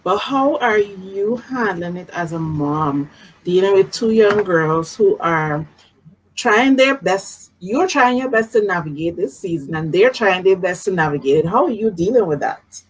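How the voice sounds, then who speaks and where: Husky woman hosting. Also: mid-range voice, expressive, a little slow, low-quality recording. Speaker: host. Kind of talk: live-streamed casual interview. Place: video call live stream.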